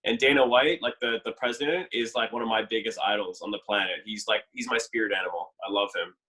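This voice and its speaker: A man's voice: on the low side, fairly even intonation, fast, bright, forceful tone, rough recording. A young man from Canada talking casually on a podcast, rambling with many fillers and "like". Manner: chatty, lots of fillers and "like". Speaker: dating show contestant.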